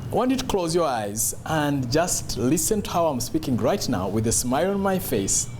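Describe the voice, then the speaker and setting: A man giving an instructional talk as a public speaking coach, emphatic and deliberate, repeating key words. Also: on the high side, big pitch swings, over music. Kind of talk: instructional talk to camera on voice modulation.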